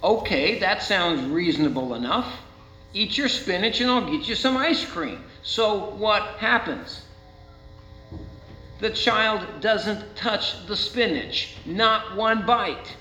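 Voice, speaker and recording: An American man preaching a sermon as a pastor, speaking in rhetorical questions with deliberate dramatic emphasis. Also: high voice, lively intonation, bright, forceful tone. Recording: roomy, muffled, with faint mains hum.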